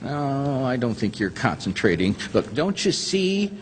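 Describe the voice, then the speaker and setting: An actor playing a gentle, eccentric old guardian angel in an old-time radio drama, fussy, courtly and earnest. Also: male voice, medium pitch, big pitch swings, a little slow, a little hiss or hum. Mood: patient. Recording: echoey theater stage with long reverb.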